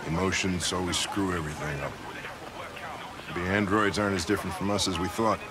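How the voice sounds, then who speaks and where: An actor playing a jaded, middle-aged police lieutenant in a video game, gruff, blunt and sardonic. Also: male voice, deep voice, fairly even intonation, brisk, very noisy, natural voice. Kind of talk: cinematic video game acting.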